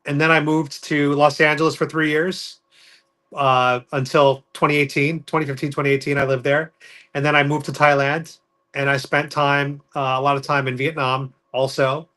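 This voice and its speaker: A man's voice: on the high side, level tone, moderate pace, sharp tone. A man who grew up in New York City, a podcast guest recounting his life in a matter-of-fact, orderly way. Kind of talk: casual podcast interview.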